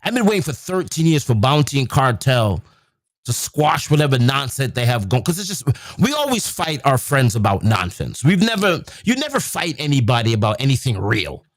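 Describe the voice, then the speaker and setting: Man, young adult, from england, easygoing talker, mid pitch, dry close mic. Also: very animated, normal speed, no room sound. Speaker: podcast host. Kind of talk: casual chat.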